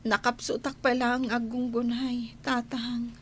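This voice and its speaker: An actress with an Ilocano accent playing a sickly, meek young daughter in a radio drama, in a weak, subdued way. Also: female voice, on the high side, little pitch movement, unhurried, crowd noise, lightly acted. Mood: meek, anxious.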